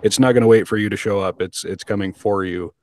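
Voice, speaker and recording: A man with a fairly low voice hosts a casual podcast. His sound is dry, with little room around it, and he talks conversationally. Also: fairly even intonation, quick pace, darkish tone, dry recording. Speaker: podcast host. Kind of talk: casual podcast panel talk.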